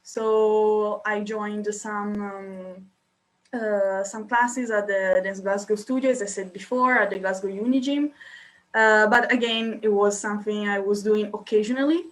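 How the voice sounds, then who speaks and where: A young woman with an Italian accent, a podcast guest talking casually and hesitantly with many filled pauses. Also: medium pitch, steady tone, rough recording. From Italy. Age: young adult. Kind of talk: casual interview talk.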